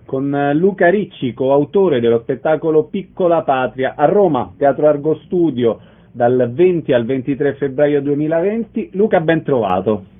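Higher-pitched male radio host, tinny sound. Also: lively intonation, normal speed. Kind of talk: radio interview introduction.

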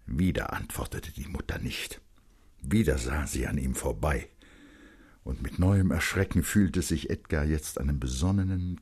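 A man narrating literary prose dramatically, in a grave, measured and intense manner. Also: sits low, slightly rough.

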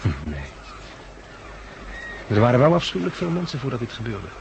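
Over clear background noise from an old radio recording comes a world-weary male survivor with a Netherlandic Dutch accent. His medium-pitched voice is articulate and wry, philosophical in the careful manner of 1960s radio drama. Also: very animated, fairly quick, darkish tone. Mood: wry, musing.

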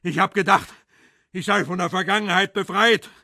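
An actor playing a desperate, foul-mouthed villain in a crime radio drama, pleading bitterly in a hoarse, strained voice. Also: male voice, high-pitched, moderate pace, bright, forceful tone, no echo, studio quality, heavily acted. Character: desperate, foul-mouthed male villain, a huge treacherous friend.

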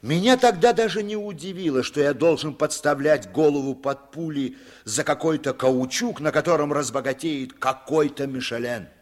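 A man's voice: on the high side, moderate pace, few pauses, natural voice. An actor playing a weary former German legionnaire who tells his story reflectively and bitterly in a 1950s radio drama.

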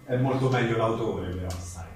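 A man's voice: lowish voice, moderate pace. A man, interviewing in a casual, appreciative way and quoting lines back. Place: echoing venue at a book presentation. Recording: very echoey room, distant mic, faint noise.

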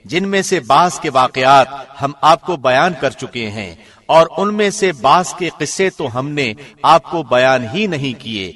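A man reading a scripture translation as formal narration, solemn and measured, with careful enunciation. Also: fairly high pitch, a bit bright. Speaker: translation narrator, radio host.

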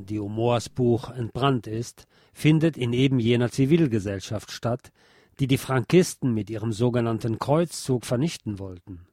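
A man narrates from a written text in a formal, literary register. His voice sits at a middle pitch and his delivery is measured. The recording is dry, with no room sound. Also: a little mellow, no echo. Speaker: narrator reading a history text. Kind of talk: scripted narration, reading aloud.

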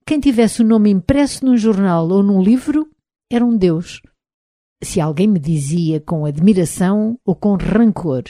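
A woman with a European Portuguese accent reading a literary novel aloud in the first person, measured and reflective. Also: lowish voice, dark tone, dry recording, studio quality. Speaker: audiobook narrator. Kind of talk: literary audiobook narration.

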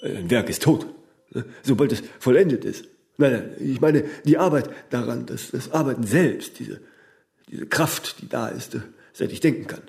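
Famous old workaholic guy, dark mellow voice, stubborn, vain, philosophises, keeps adding little tag questions, radio drama. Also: mid-range voice, expressive, moderate pace, creaky. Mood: weary, defiant. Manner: rambling pronouncements, frequent tag "ne?".

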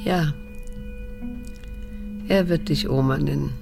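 A burned-out middle-aged daughter in a radio drama. Her voice is fairly low with a creaky edge, terse and restrained, with pain held back. Music runs underneath. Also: slow pace, dark tone, exaggerated voice. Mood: weary, restrained. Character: weary, burned-out daughter confronting her guilty old mother. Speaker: actor and narrator in a radio drama. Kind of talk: radio drama acting with inner monologue.

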